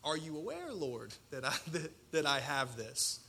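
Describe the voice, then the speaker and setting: Bright-voiced male preacher with a slight stutter. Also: a little high, sing-song, vocal fry, husky. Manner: colloquial, self-deprecating, dramatic pauses and emphasis. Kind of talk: personal testimony sermon to an audience.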